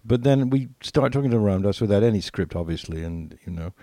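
A man's voice: lowish voice, animated, brisk, mellow, no room sound, studio quality. A man co-hosting a podcast in casual, conversational talk. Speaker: podcast co-host. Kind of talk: casual chat.

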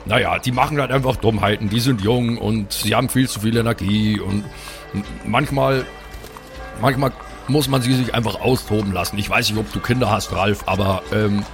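A man's voice: lowish voice, normal speed, gravelly, music in the background. A man playing a worried, fatherly adventurer in an improvised tabletop audio drama, speaking in a hesitant, apologetic, rambling way. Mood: worried, rueful.

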